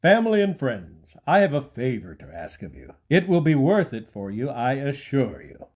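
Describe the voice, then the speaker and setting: A man's voice: mid-range voice, big pitch swings, normal speed, a little mellow, husky. A man playing a scheming, ruthless claimant to a throne in an audio Bible drama, with feigned courtesy. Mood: persuasive.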